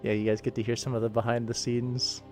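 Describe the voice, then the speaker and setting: Casual male livestreamer over soft music. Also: medium pitch, little pitch movement, fast talker. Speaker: livestream host and animator. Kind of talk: casual livestream chat while working. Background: faint background music.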